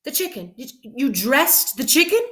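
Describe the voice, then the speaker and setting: A woman voicing an excitable, easily shocked lady of the house in a storybook, exclaiming in dismay. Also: sits high, moderate pace, pitched above the performer's own voice. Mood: alarmed.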